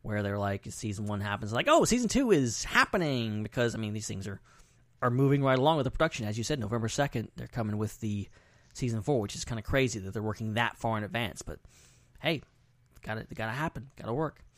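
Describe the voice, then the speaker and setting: A man's voice: on the low side, expressive, fast talker, dry recording. A man hosting a podcast in casual, rambling, enthusiastic conversation. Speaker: podcast host.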